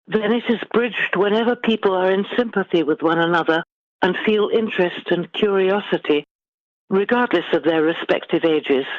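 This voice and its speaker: A middle-aged woman guest author speaking in measured, formal, articulate phrases in a literary interview. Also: on the low side, dry recording. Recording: dull, lo-fi band-limited sound.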